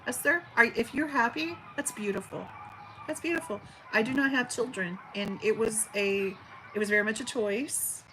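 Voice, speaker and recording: A woman's voice: a little high, moderate pace, pushed and bright, slight background noise, rough recording. A woman in her forties hosting a casual livestream, reading viewer comments in a chatty, affectionate way with a big teacher voice. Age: 40s. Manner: chatty and affectionate, frequent fillers and restarts. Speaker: livestream host. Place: at home by an open window, birds outside.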